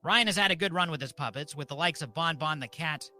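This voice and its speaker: Bright-voiced man, deadpan mock-solemn officiant. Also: on the high side, fast talker, faint music behind. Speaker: host and mock officiant of a comedy live show. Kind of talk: mock-solemn scripted ceremonial sermon.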